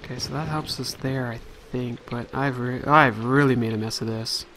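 A man's voice: mid-range voice, steady tone, normal speed, a bit bright, slight background noise. A man giving casual let's play commentary, thinking aloud as he plays.

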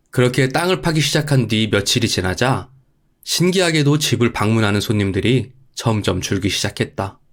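A man narrating a scripted folktale as a storyteller. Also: lowish voice.

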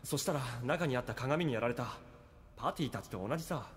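Man acting a brash, lively young adventurer. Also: medium pitch, a little fast, natural voice. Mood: casual. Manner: rough, casual boyish speech. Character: brash, hot-headed young male adventurer. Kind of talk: anime audio drama acting. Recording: long hall reverb, noisy.

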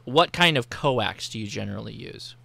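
A man's voice: fairly low pitch, expressive, moderate pace, creaky, sharp tone, dry recording. An American man in his thirties hosting a livestream casually, reading out viewer questions on a close mic. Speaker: livestream host. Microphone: close mic on boom arm, with headphones.

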